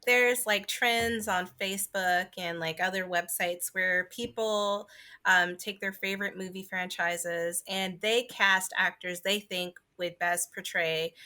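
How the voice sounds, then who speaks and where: A woman's voice: mid-range voice, steady tone, slow, pushed and bright. A woman co-hosting a podcast, talking casually and informally about video games, often saying "like". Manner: informal, full of "like". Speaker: podcast co-host.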